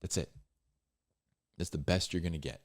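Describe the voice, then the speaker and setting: A man giving informal, off-the-cuff Bible commentary in a conversational, halting way, repeating phrases for emphasis. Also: deep voice. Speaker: Bible storyteller and commentator, host.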